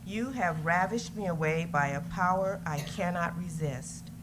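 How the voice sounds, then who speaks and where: Devoted, passionate lover played by a woman, deep female register, staged letter reading, clearly noisy recording. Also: unhurried, natural voice. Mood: impassioned. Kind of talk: readers theater love letter. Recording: noisy live event recording.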